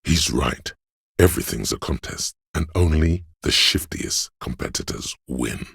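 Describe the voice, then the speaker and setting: Casting: snobbish, money-mad hustler in a game, extremely low and very husky, coaxing and smug. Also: male voice, expressive, unhurried, fairly bright tone, dry recording, clean studio sound, full character voice. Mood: sly, goading. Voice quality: very deep, gravelly, husky. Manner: smug, coaxing salesman-like persuasion. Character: slick money-hungry hustler inner voice, male, scheming and snobbish. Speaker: video game voice actor. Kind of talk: scripted game voice-over, inner-voice advice.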